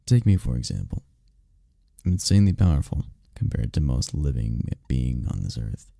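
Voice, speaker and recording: Man playing a deep, gravelly, tender incubus boyfriend. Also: big pitch swings, no room sound, studio quality, full character voice. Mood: gentle, reassuring. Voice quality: deep, dark, gravelly, husky. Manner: soft, unhurried, caring, with breathy murmurs. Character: tender, powerful male incubus boyfriend, soothing and reassuring. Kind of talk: intimate roleplay ASMR, scripted comfort monologue. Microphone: close mic, dry.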